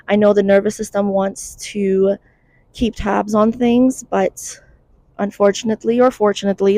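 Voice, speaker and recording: A woman's voice: medium pitch, warm tone, no room sound. Candid woman giving mental health tips. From Iranian diaspora. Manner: candid, chatty, wry. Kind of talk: casual advice to camera.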